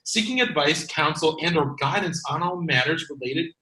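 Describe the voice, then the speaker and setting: A man's voice: a little high, moderate pace, pushed and bright. A man playing a wary, exasperated sidekick in a comedy script read. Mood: deadpan reading. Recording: roomy, echoing sound.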